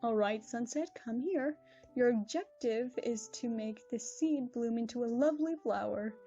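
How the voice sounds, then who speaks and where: A woman's voice: fairly high pitch, moderate pace, mellow, soft background music, lightly acted. A woman voicing a kind, motherly pony princess who speaks in a composed, formal way, in a lo-fi recording. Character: gentle, regal adult pony princess, motherly mentor.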